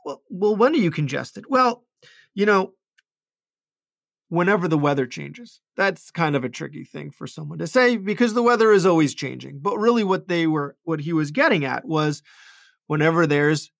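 A male ENT physician talks through patient stories in a relaxed, conversational way. His voice sits a bit high for a man, and he often repeats words and restarts sentences as he thinks aloud. The recording is close and dry, with no room sound. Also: normal speed, vocal fry, no echo. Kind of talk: conversational talk about patient cases.

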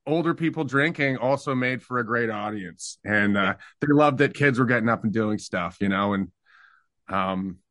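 A middle-aged man from Canada, a singer-songwriter, talking reflectively about his past in a relaxed interview, with fillers and restarts. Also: mid-range voice, moderate pace, dry recording, studio quality.

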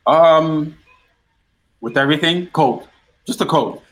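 A man's voice: a little high, takes it slowly. Guyana-born man chatting as a show guest. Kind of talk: casual chat.

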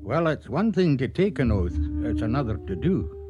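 Guy doing solemn radio drama narrator, very expressive, slightly muffled recording w music behind. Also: medium pitch, moderate pace, natural voice. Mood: grave, foreboding. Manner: solemn, emphatic. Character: grave male storyteller recounting a deadly hunt. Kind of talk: dramatic scripted storytelling narration. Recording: slightly dull radio track with music underneath.

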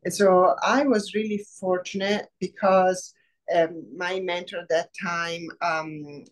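A middle-aged woman from Rome with an Italian accent, a professor speaking in a casual interview, thoughtful with many pauses. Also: fairly low pitch, fairly even intonation, rough recording. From Rome, Italy. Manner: warm, thoughtful, many "um" pauses, laughs easily. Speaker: biology professor, guest.

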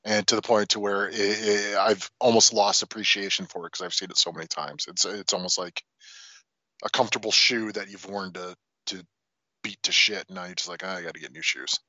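Man, podcast co-host, sharp forceful tone, wry, informal, casual chat, slightly dull recording. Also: fairly low pitch, fairly even intonation, a little fast, dry recording.

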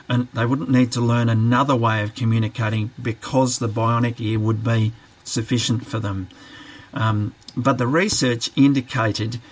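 Reflective man thinking aloud. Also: medium pitch. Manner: reflective, hesitant, many fillers and restarts. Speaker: podcast guest, training manager. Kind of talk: thoughtful interview answers.

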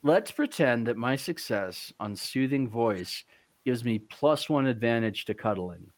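A man's voice: medium pitch, expressive, a little slow. A man playing a tabletop game on a podcast, chatting casually and riffing with playful enthusiasm.

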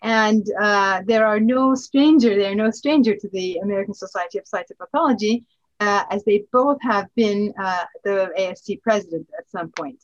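Host: a woman with a mid-range voice, formal and hesitant, recorded on dull lo-fi audio. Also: medium pitch. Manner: halting, formal delivery with frequent hesitations. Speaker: podcast host, society president. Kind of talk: formal introductions and casual chat.

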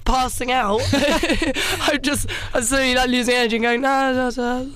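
A woman presenter chatting on the radio in a husky, hoarse voice from having lost her voice, giggling and self-mocking. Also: high voice, fairly even intonation, normal speed, a bit bright.

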